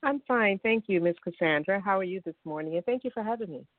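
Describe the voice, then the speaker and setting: A middle-aged woman from Chicago tells her story with friendly ease. Her voice comes over a tinny phone line, narrow and dry, at a middle pitch. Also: expressive, quick pace, dry recording. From Chicago, USA. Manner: friendly, storytelling, laughs now and then. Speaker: guest, online school founder. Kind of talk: radio interview.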